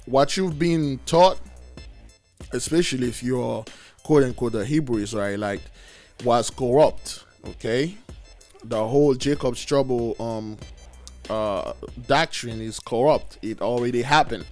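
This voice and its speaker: A man with a raspy voice hosting a religious video, preaching with emphatic stress on key words. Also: mid-range voice, darkish tone. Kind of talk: preaching commentary. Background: faint music.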